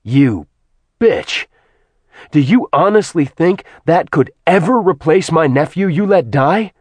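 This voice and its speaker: A man's voice: lowish voice, moderate pace, no echo, close to an everyday voice. A man playing a bitter, vengeful immortal man who snarls venomously with a raised, seething voice.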